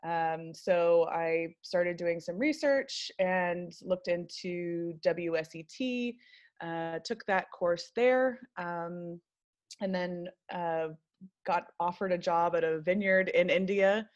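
An American woman in her thirties talks easily as an interview guest, filling her sentences with ums and uhs. Her voice sits low for a woman. The recording is a little dull and lo-fi. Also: takes it slowly. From United States. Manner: chatty, many ums and uhs. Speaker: wine industry guest. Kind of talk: casual interview conversation.

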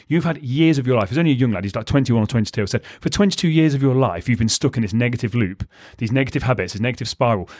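A male podcast host and fat loss coach, talking solo with emphatic, repeated punchlines and a slightly croaky voice. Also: medium pitch, a little mellow, dry recording, clean studio sound. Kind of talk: solo motivational podcast monologue.

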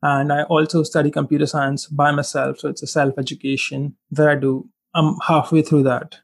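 A man in his twenties from India whose first language is Hindi, a guest in a relaxed interview, reflecting on his past and often saying "like" and "you know". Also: a little high, moderate pace, vocal fry. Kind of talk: casual interview chat.

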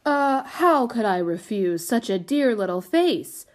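A woman's voice: on the high side, very animated, unhurried. A woman voicing a growly male carter with rustic, folksy speech in a storybook. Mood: doting. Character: sour, growling male carter, softened by flattery.

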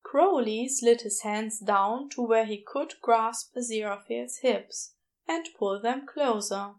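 Slow, high-voiced woman narrating fiction. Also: darkish tone. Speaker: audiobook narrator.

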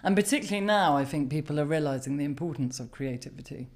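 A woman hosts a podcast interview, her voice sitting a little low. She asks questions with halting restarts and fillers. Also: animated, darkish tone. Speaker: podcast host.

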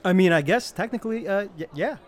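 A man's voice: sits high, fast, a faint crowd, lightly acted. A man playing an easygoing human tavern keeper in a comedic fantasy audio drama, talking in a casual, hesitant, incredulous way.

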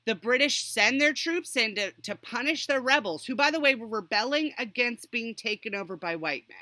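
A woman hosts a casual history chat in a bright, forward voice. She rambles animatedly through her stories and drops sardonic asides. The sound is dry, with very little room in it. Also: fairly high pitch, expressive, moderate pace, no room sound. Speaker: podcast host.

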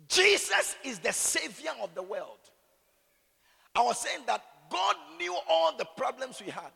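A man with a Ghanaian accent and a raspy voice, preaching in an animated, conversational way full of rhetorical questions and repeated phrases. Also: extremely high pitch, measured pace. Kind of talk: sermon with conversational, opinionated asides. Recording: echoey hall with long reverb.

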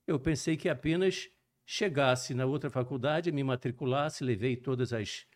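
An older man with a mixed Minas Gerais and Rio Brazilian accent, a cardiologist guest telling his story in a measured, detailed, professorial way. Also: a little high, a little mellow. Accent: mixed Brazilian Portuguese, Minas Gerais and Rio de Janeiro. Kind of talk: relaxed storytelling interview.

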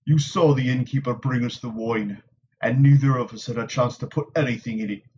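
A man reading an audiobook voices a terse male warrior who speaks in a quiet, clipped, patient way. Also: mid-range voice, a little fast, dull audio, a little hiss or hum, close to an everyday voice. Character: stern, terse male warrior, guarded and low-spoken.

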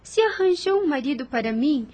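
A woman's voice: high voice, expressive, moderate pace, a little mellow. A woman playing an anxious, dutiful young orphaned niece in a period radio soap, speaking formally and earnestly. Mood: resigned, questioning. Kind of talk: radio soap opera acting.

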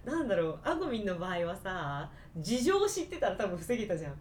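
A woman co-hosting a casual podcast, telling personal stories in an animated way and acting out bits of dialogue. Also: medium pitch, fast talker, slight background noise. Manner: animated, acts out quoted dialogue. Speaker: podcast co-host.

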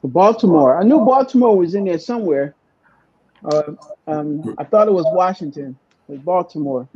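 A podcast host introducing guests in a live online session, speaking haltingly and often restarting phrases. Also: mid-range voice, expressive, breathy edge, muffled recording.

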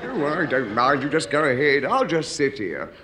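A man's voice: medium pitch, big pitch swings, normal speed, a crowd in the background. A man playing a nasal, pushy neighbour. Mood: cheery. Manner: cheerfully imposing, loud animal impressions. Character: nosy, chatty male next-door neighbour. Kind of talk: 1950s radio comedy acting. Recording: noisy old radio archive recording.

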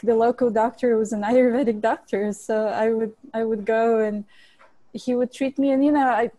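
Casting: woman chef from Bulgaria, mellow tone, dry close sound, earnest interview answers. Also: mid-range voice, no echo. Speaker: restaurant chef and cookbook author.

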